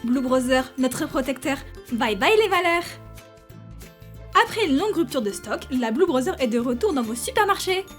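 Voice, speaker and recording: A woman's voice: high-pitched, animated, moderate pace, faint music behind. A woman playing an earnest activist and announcer in a scripted audio drama. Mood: promotional. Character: female narrator and remorseful activist.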